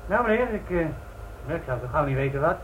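A man's voice: fairly high pitch, lively intonation, natural voice. An actor playing a deferential, hesitant young trainee policeman in a radio play. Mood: hesitant, apologetic. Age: young adult. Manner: hesitant, deferential, quick to apologise. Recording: muffled, noisy old radio play recording.

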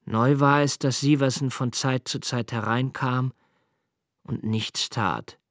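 An actor playing a melancholy, observant young man, narrating a literary radio drama in a measured, introspective way. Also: mid-range voice, steady tone, no room sound, studio quality, close to an everyday voice. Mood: subdued, melancholy. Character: melancholy, observant young man recalling his father's death.

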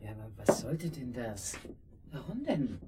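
An actress playing an emphatic, bewildered holiday-house caretaker in a naturalistic radio drama. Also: female voice, very deep voice, sing-song, measured pace, dark tone, exaggerated voice. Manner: emphatic, puzzled.

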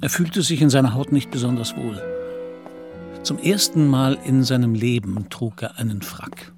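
A man giving scripted literary narration in a German radio play, telling the story in the third person. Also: mid-range voice.

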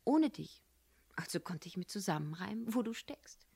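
A woman's voice: mid-range voice, expressive, normal speed, gravelly, mellow, breathy edge, no echo. An actress playing an earnest, determined young woman in a German radio crime drama, speaking intimately and insistently. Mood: matter-of-fact, guarded.

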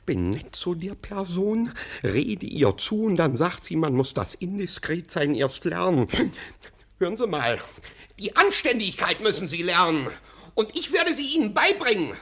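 Husky, pompous police official. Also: male voice, high voice, very animated, measured pace, a bit bright, exaggerated voice. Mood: indignant, commanding. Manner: stammering when flustered, officious, commanding. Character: pompous, flustered German police assessor, an easily irritated official. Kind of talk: scripted German radio play acting.

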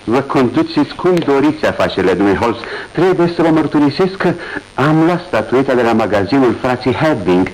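Brief: actor as a polite, bewildered male seller, medium pitch, clean studio radio take. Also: sing-song, darkish tone, close to an everyday voice, rough recording. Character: courteous male provincial seller, puzzled but obliging. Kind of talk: 1980s radio drama acting.

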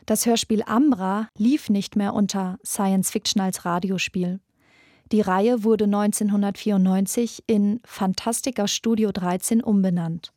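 A woman narrates a scripted documentary podcast. Her voice is soft-toned and mellow at an average pitch, recorded close and dry. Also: fairly even intonation, measured pace, no room sound.

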